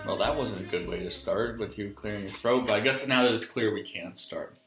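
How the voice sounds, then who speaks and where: A man's voice: lowish voice, brisk, music in the background. A thirty-year-old man chatting casually and jokingly at home as he hosts a recorded conversation with his partner. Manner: joking, teasing. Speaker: podcast host interviewing his partner. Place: kitchen, at the table during a meal. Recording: roomy echoing home recording.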